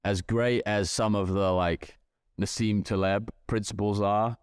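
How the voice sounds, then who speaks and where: A man's voice: fairly low pitch, steady tone, a little slow, clean tone, dry recording, studio quality. A man speaking as a podcast guest, reflective and thinking aloud with frequent hedges. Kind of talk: casual podcast chat.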